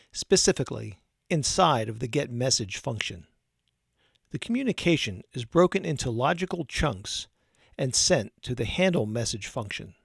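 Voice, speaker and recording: Man narrating a tutorial, gravelly, dry sound. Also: medium pitch, no room sound. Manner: measured, methodical explanatory delivery. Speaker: technical training instructor.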